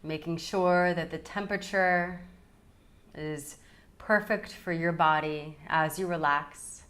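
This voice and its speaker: A woman guides a relaxation meditation in a slightly low voice. She speaks very slowly and calmly, leaving long pauses between soothing phrases. Her delivery is unhurried, as a yoga nidra teacher would give it.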